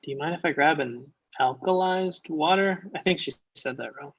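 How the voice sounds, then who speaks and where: Reluctant male friend, thirties, actor in a comedy read, mid-pitched, awkward and halting, slightly muffled recording. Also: a bit bright, husky. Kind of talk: comedy pilot table read. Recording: muffled, dull online call audio.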